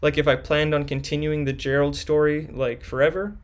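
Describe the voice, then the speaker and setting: A man's voice: a little high, level tone, brisk. A young man hosting a live stream, reading viewers' questions from chat and answering them casually.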